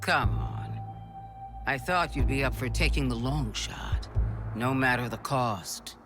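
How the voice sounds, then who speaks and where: A man's voice: medium pitch, slow pace, close to an everyday voice. A shrewd, controlled negotiator voiced by a man presses his offer in a dry, persuasive tone. Game music runs behind him. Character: shrewd manipulative male negotiator. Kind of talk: video game cutscene acting.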